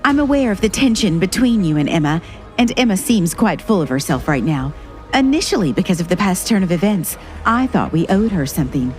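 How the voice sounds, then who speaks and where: A woman's voice: fairly low pitch, very animated, fairly quick, soft background music. An actress playing a domineering, scheming fashion-industry woman who talks in a curt, haughty, commanding way. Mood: cold, scheming.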